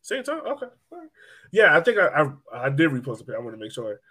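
A man's voice: a little high, wildly swooping pitch, quick pace, bright, forceful tone, slightly rough. A man hosting a podcast, chatting casually about sports. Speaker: podcast host.

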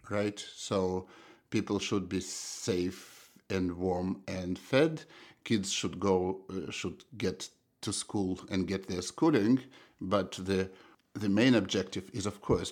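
A middle-aged man from Russia with a Russian accent, answering interview questions as an economist, thoughtful and halting with frequent restarts. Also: sits low. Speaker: economist guest.

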